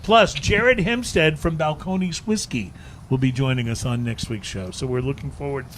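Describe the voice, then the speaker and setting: A man hosting a casual chat show, affable and quick to chime in with agreement. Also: medium pitch, crowd noise. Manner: affable, frequent agreeing interjections. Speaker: podcast host. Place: bar, live show.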